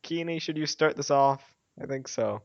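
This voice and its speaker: A man's voice: a little high, moderate pace, no room sound. Man hosting a casual podcast, muffled audio. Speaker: podcast host. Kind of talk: casual group podcast chat.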